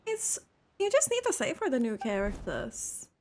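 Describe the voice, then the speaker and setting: A woman's voice: high-pitched, wildly swooping pitch, darkish tone, slight background noise. A woman streamer giving casual, chatty reaction commentary on a game, drawn out and full of "like". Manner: chatty, drawn-out, uses "like" a lot.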